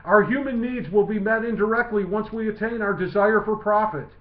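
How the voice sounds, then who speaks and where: A man reading his own literary essay aloud in a measured, declamatory way. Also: high voice. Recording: muffled lo-fi, roomy echo.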